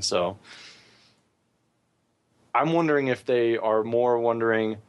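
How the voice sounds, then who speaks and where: A man co-hosting a gaming podcast, talking casually and giving his opinions freely. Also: on the low side. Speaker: podcast co-host.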